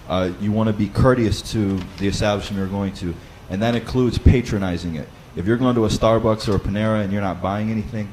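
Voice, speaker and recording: Loud-voiced man giving practical teaching tips. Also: on the low side, slight background noise. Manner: conversational, with frequent fillers and restarts. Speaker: Bible study teacher. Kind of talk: instructional talk.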